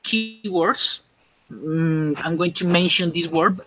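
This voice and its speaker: A man teaching English, dictating slowly and checking often that students understand. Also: on the high side, pushed and bright, no room sound. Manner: slow and repetitive, checking understanding. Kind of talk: classroom dictation lesson. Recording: dull lo-fi classroom recording.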